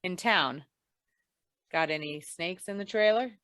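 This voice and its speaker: A woman playing a deadpan, hard-boiled female investigator in an old-time radio crime noir, with dry, world-weary delivery. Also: lowish voice, a little slow, dry recording. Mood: cool, matter-of-fact. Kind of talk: 1940s radio noir narration and dialogue.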